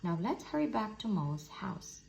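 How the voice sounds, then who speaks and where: A woman's voice: medium pitch, very animated, normal speed, creaky, a little mellow, slight background noise. Woman reading a sensible, cautious storybook rabbit.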